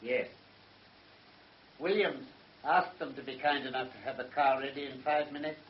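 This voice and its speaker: An actor playing a stern, dignified judge with an English accent, speaking formally and severely in a radio drama. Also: male voice, mid-range voice, fairly even intonation, moderate pace, slight background noise, close to an everyday voice. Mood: grave. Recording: muffled old radio recording.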